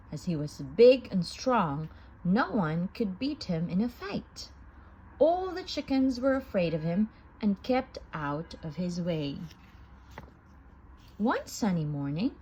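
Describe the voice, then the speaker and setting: A woman reading a children's story aloud in an expressive, sing-song manner. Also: medium pitch, husky, highs cut off. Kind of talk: children's read-aloud narration.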